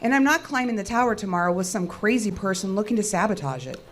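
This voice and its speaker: A woman's voice: mid-range voice, lively intonation, fast, a little hiss or hum, natural voice. An actress playing a sceptical, short-tempered female technician in an audio drama, brusque and sarcastic. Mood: stern, accusing.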